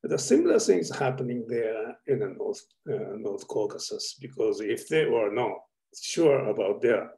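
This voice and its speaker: A man in a seminar on a video call who asks long questions in a halting way, with many restarts and fillers. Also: mid-range voice, big pitch swings, creaky, warm tone, low-quality recording. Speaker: audience member asking questions.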